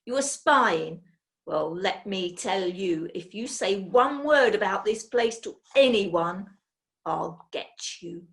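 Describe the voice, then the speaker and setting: A menacing, red-faced chicken farmer snarls threats and bullies those around him, as a woman reads him aloud. He sounds loud and pushy in a storybook reading, with a voice at an ordinary middle pitch. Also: lively intonation, takes it slowly. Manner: threatening, bullying.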